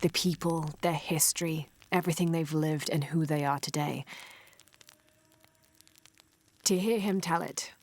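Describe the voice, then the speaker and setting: A woman's voice: low voice, steady tone, normal speed, a little mellow. An actress playing a determined young woman adventurer, focused and understated. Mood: reflective. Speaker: explorer.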